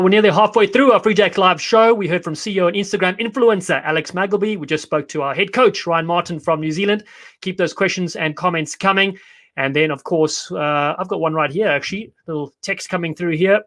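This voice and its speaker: An upbeat, chatty man hosting a live show, with a fairly high voice. He keeps the banter light and friendly as he puts questions to his guests. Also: fairly quick, a bit bright. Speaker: host. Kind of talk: casual live show interview.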